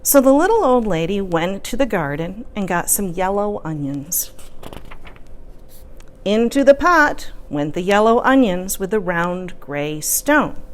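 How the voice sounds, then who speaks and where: A woman reading a children's story aloud, expressive and deliberate, with sing-song repetition. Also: medium pitch. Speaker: storyteller reading a picture book.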